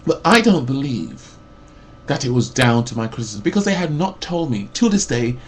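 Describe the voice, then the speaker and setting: A man in his forties, a mental health support worker, ranting about his job in a loud, rambling way full of fillers and restarts. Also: medium pitch, very animated, moderate pace, background noise. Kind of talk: rambling personal rant.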